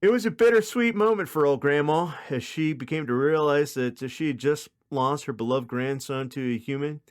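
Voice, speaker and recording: Male comedy podcast co-host, slightly high voice, casual storyteller, halting and crude.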